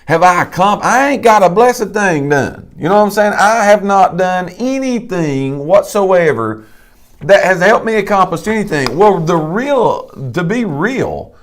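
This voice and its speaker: A man's voice: high voice, measured pace. A man, co-hosting a casual live talk show with folksy, emphatic delivery. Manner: animated, folksy preacher-like emphasis. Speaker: co-host.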